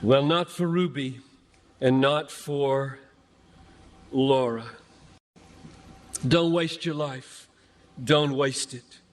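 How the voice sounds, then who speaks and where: A man's voice: mid-range voice, very slow, long pauses. A man preaching an impassioned sermon, pleading and emphatic, hammering phrases through dramatic repetition. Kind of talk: impassioned sermon to a large gathering. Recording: echoey large hall with long reverb.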